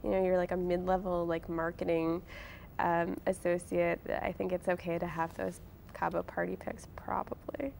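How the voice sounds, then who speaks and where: A woman's voice: lowish voice, little pitch movement, normal speed, gravelly, darkish tone, a little hiss or hum. A woman answering as an interview guest in an informal, conversational way, often breaking off and rephrasing. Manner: informal, frequent "like" and self-interruptions.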